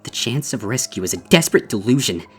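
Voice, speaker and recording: A man's voice: mid-range voice, expressive, natural voice. Man reading as haunted, desperate female unicorn, music behind. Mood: desperate, intense. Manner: grave, deliberate, intense. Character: traumatized young female unicorn, horrified and desperate. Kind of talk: dramatic first-person horror story reading.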